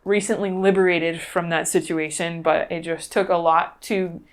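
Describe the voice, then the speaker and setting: A woman being interviewed, recounting cases conversationally in a thoughtful, halting way. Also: on the low side, steady tone. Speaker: interview guest.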